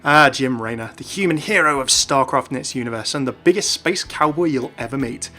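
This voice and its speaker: A man's voice: medium pitch, a little fast, sharp tone, soft background music. A man hosting a gaming video with enthusiastic scripted narration.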